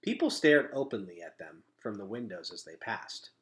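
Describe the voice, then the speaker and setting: A man reading a children's novel aloud as a storyteller, with expressive, emphatic phrasing. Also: lowish voice, moderate pace. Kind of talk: animated children's book reading.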